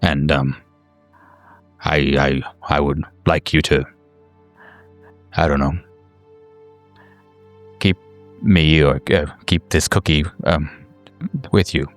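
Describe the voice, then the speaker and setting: A man's voice: rumbling low, fairly even intonation, slow, pauses often, faint music behind, voice pushed down. A man voicing an older father who stammers and repeats words, awkwardly sentimental and tearful. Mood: pleading, choked up.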